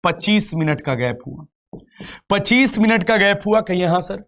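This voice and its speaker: High-pitched male teacher. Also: animated, normal speed, a bit bright. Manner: repeats phrases, asks rhetorical questions. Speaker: reasoning teacher for exam coaching. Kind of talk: live online lecture, explaining step by step.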